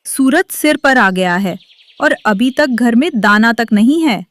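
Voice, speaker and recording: Casting: cruel storybook stepmother, acted by a woman, high and sharp, scolding, dry recording. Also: lively intonation, unhurried, no echo. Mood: harsh scolding. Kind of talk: dramatized cartoon storytelling.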